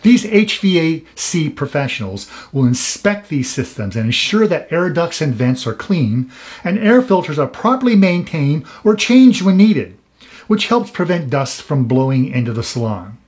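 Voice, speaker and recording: A man in his fifties delivers scripted advice to camera in a fairly high voice. He sounds earnest and cautionary and leans hard on his warnings. His voice is heard close to the mic. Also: very animated, moderate pace, darkish tone. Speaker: salon industry expert hosting a show.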